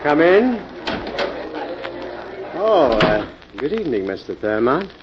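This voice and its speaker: An actor playing a hard-nosed, coolly menacing American gambling club boss in a 1940s radio drama, firm and skeptical, on an old recording. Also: male voice, a little high, big pitch swings, takes it slowly, dull audio, heavy background noise. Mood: cool, businesslike. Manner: firm, skeptical, tough-talking.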